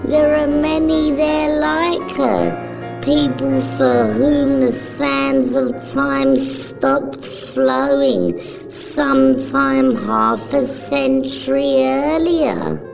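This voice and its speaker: A narrator reading a motion comic script in a solemn, measured, dramatic storytelling style. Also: high voice, creaky. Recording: dull lo-fi sound, music underneath.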